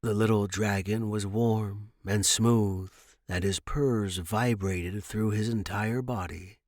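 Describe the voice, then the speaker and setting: A man's voice: fairly low pitch, steady tone, slow pace, darkish tone, no echo, studio quality. A man reading a short story aloud as a scripted narration.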